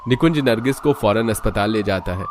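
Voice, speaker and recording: Guy narrating a hindi cartoon story, lowish voice, scripted read. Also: fairly quick, a little hiss or hum.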